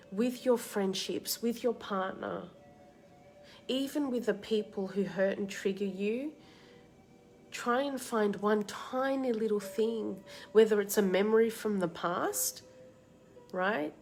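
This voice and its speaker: A woman with a slight cold and a tickly throat, hosting a live card reading and giving soft, reassuring advice to viewers by name. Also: mid-range voice. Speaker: card reader and meditation host. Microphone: live-stream setup.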